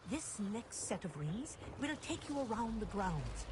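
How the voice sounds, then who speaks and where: A woman's voice: a little high, big pitch swings, unhurried, noisy background. A woman playing a strict, proper flying instructor at a wizard school in a video game, speaking formally and firmly. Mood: strict, instructive. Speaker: flying teacher.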